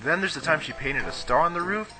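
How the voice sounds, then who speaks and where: A man's voice: fairly high pitch, expressive, fairly quick, over music, natural voice. A young man playing a cocky, gossipy, girl-crazy schoolboy in an amateur anime dub, talking in emphatic slang. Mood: gossipy, knowing.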